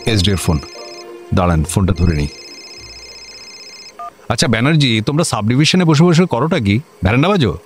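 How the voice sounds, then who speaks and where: An actor playing an officious, excitable district magistrate in a comedy radio play, with a clipped manner and English words mixed in. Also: male voice, mid-range voice, brisk, pauses often, faint music behind, close to an everyday voice. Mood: curt. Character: officious, excitable male district magistrate, bossy to juniors, servile to superiors. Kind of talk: Bengali comedy radio drama acting.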